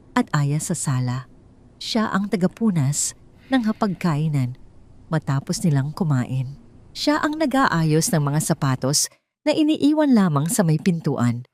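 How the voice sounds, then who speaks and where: A Filipino woman narrates a scripted audio drama story. Her voice sits low and has a soft, dark tone. She phrases each sentence in a measured, clear storytelling manner.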